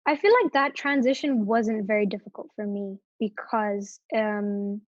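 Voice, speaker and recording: A woman's voice: mid-range voice, fairly even intonation, unhurried, dry recording. Hesitant young woman, dry lo-fi sound. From Morocco. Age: young adult. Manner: hesitant, many fillers and restarts. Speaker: interview guest. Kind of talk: casual interview chat.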